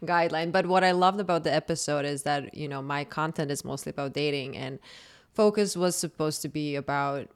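A woman in her thirties from Croatia, speaking English. Her voice sits low and sounds smooth and resonant. She tells long stories in a relaxed podcast conversation and laughs often. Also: a little fast. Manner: animated, laughs often, long storytelling turns. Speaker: podcast host and dating content creator.

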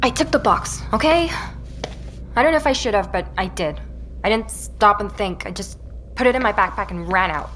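A woman playing a shaken young woman who records a hurried, halting account in a naturalistic audio drama, sighing between phrases. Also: mid-range voice, animated, fairly bright tone, slight background noise, lightly acted. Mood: anxious, defensive. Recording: music underneath.

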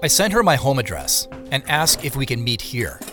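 An actor playing the inner narrating voice of a wary man, giving measured scripted narration in an audio drama. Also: medium pitch, very animated, over music, lightly acted. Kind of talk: scripted first-person present-tense narration.

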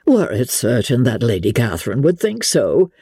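A pompous, self-satisfied clergyman played by a woman holds forth in a classic novel reading. The delivery is husky and mellow, formal and ponderous, with grand rises and falls of pitch. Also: sing-song, normal speed, no echo, clean studio sound, exaggerated voice. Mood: unruffled, smug. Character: pompous, self-satisfied clergyman suitor, adult man, long-winded.

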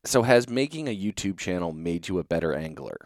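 Deep-voiced man with lively delivery. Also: a little fast, dry recording, studio quality. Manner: laughs often, friendly, rambling questions. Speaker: podcast host. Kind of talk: casual interview.

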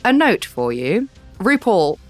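A woman's voice: medium pitch, big pitch swings, slow pace, faint music behind. A woman co-hosting a podcast, chatting casually with dry, sarcastic quips. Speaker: podcast co-host. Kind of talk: casual chat.

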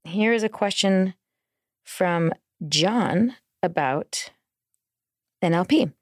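A woman's voice: on the low side, takes it slowly, no room sound, clean studio sound. A woman co-hosting a casual podcast conversation, explaining things through personal anecdotes. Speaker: podcast co-host.

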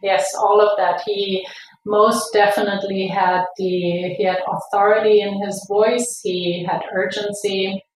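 A woman's voice: medium pitch, little pitch movement, unhurried, slight background noise. A middle-aged woman from Germany with a German accent, recounting her experience conversationally as an interview guest. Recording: echoey room, distant from the mic.

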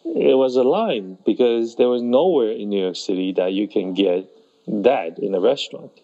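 Taiwan-born guy being interviewed, gravelly dark voice, kinda dull lo-fi sound, stops and restarts a lot. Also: medium pitch, lively intonation. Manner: halting, with many restarts and pauses. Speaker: festival organizer. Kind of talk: conversational interview answers.

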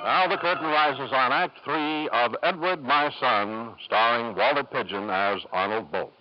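An actor working as a formal storytelling announcer in 1950s radio drama style. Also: male voice, medium pitch, unhurried, close to an everyday voice. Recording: muffled old radio, loud music.

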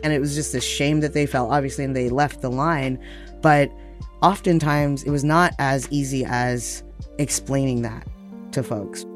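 A man's voice: mid-range voice, steady tone, moderate pace, soft background music. A man with an American accent telling personal stories reflectively and conversationally as an interview guest.

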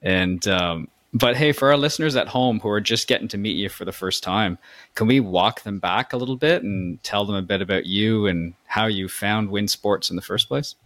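A man's voice: fairly low pitch, moderate pace. A man hosting a podcast, casual and agreeable as he chats and asks questions. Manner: relaxed, agreeable, encouraging. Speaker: podcast host.

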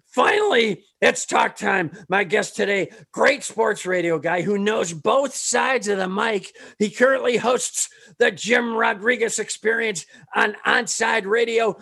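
A man hosting a podcast, reading a comic sports news update in punchy, deadpan bursts with sudden shouts. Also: sits high, unhurried, vocal fry, few pauses, breathy edge. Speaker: podcast host.

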